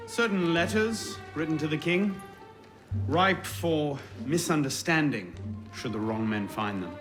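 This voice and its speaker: A man's voice: on the high side, expressive, measured pace, a bit bright. A man playing a dry, determined adventurer in a video game, sardonic and clipped. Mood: dry, guarded. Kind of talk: video game cutscene acting. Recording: music behind the voice.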